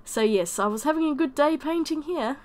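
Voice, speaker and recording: A woman with a croaky voice from a summer cold, chattily narrating a watercolour painting voice-over. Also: high voice, lively intonation, brisk, darkish tone. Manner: chatty, self-deprecating. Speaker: watercolour artist.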